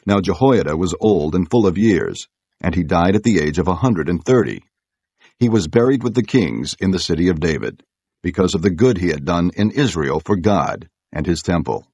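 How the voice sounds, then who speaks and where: A man reads scripture as a narrator, in a low, husky voice. The delivery is formal and measured, and the sound is dry and close. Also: a little mellow, no room sound.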